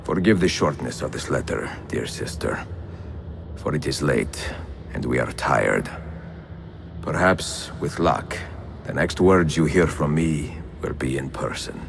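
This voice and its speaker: An actor playing a weary, reflective older Italian assassin in a game cutscene, speaking in a measured, solemn way with an Italian accent. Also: male voice, extremely low pitch, creaky, background noise, heavily acted. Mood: tender, tired. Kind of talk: dramatic game cutscene dialogue and letter narration.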